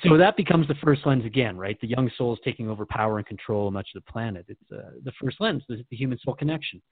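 A man's voice: mid-range voice, expressive, fast talker, dry recording. A man hosting a radio drama dialogue, informal and keen, recapping points and asking questions in a conversational way. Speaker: radio host. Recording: dry, dull phone-like narrow sound.

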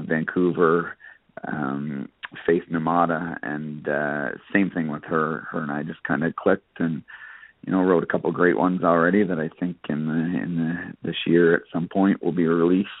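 A narrow, dry phone-like connection carries a very low-voiced man. He is a musician answering interview questions casually, pausing and hesitating often as he goes. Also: creaky, no room sound. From Canada. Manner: halting, frequent hesitations and you-knows. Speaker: country singer being interviewed.

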